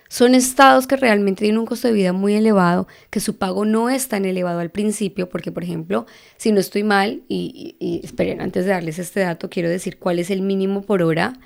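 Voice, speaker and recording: Woman, Bogotá Colombian accent, vocal fry, podcast host, casual explainer, frequent tag questions. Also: medium pitch, darkish tone. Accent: Bogotá Colombian Spanish. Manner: chatty, asks listeners for agreement with frequent tag questions. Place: living in Texas.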